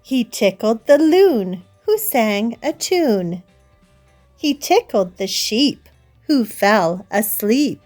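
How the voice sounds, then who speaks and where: A woman hosting story time, reading a picture book aloud to young children in a deliberate, sing-song manner. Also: on the high side, sing-song, a little mellow, faint music behind. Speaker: children's storybook reader and host.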